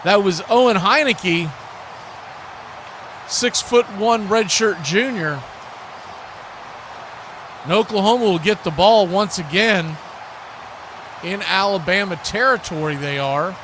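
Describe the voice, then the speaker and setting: A man's voice: high-pitched, slow, pauses often, noisy background. A man hosting a live football stream, mixing play-by-play commentary with casual chat in folksy, colloquial phrasing.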